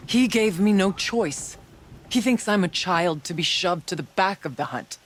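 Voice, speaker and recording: A woman's voice: lowish voice, animated. Solemn shaman, noisy ambience. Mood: hurt, resolute. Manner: measured, formal, earnest. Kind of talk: dramatic video game acting.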